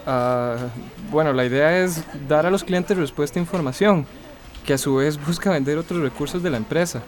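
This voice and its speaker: A man's voice: a little high, normal speed, close to an everyday voice. A man with a Costa Rican accent playing an anxious, self-doubting office employee in a radio soap opera. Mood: uneasy, modest.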